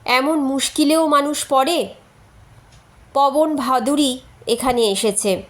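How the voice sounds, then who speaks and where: A woman's voice: sits high, slow pace. A woman narrator voicing a frank, playful young woman reading out her chatty letters in a storybook. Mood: candid, persuasive.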